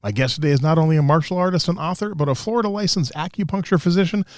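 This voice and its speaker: A man's voice: fairly high pitch, rapid-fire, dry recording, clean studio sound. A man hosting a martial arts podcast, reading a scripted welcome in an upbeat way and then chatting with his guest. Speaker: podcast host. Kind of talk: scripted intro, then interview chat.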